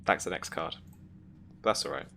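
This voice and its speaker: A game master running a tabletop roleplaying game, explaining rules step by step in a relaxed, informal way. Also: moderate pace, slight background noise.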